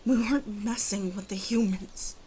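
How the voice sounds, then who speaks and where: A woman voicing a guarded, grieving female werewolf in an ASMR roleplay, halting and brooding, often dropping to breathy half-whispers. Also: mid-range voice, dark tone, slight background noise, lightly acted. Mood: solemn, bitter. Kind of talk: ASMR roleplay monologue addressed to listener.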